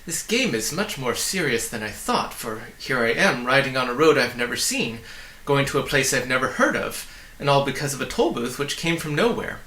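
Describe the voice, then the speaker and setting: A man's voice: mid-range voice, very animated, normal speed, pushed and bright, echoey room, slight background noise. A man reading the part of a wistful, puzzled young boy in a storybook, musing politely to himself. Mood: hopeful wonder.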